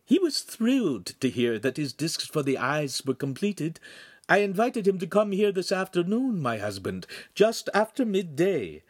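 A man voicing an excitable middle-aged wife of a master craftsman, high and shrill. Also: measured pace, dry recording, clean studio sound, heavily acted. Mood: eager.

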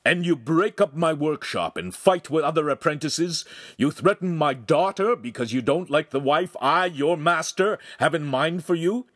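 A man voicing an enraged middle-aged master craftsman who shouts scornfully at his apprentice. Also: on the high side, lively intonation, moderate pace, no room sound, studio quality, pitched above the performer's own voice. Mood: furious.

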